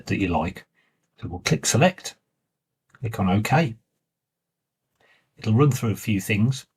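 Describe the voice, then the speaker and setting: A man giving a plain, informal step-by-step walkthrough as the presenter of a software tutorial. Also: on the low side, breathy edge. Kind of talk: step-by-step screen walkthrough narration.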